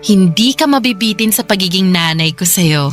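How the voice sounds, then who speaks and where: A woman playing a warm, protective motherly figure in a radio drama, affectionate and gently scolding. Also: medium pitch, expressive, normal speed, soft background music, close to an everyday voice. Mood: reassuring. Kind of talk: Tagalog radio drama dialogue.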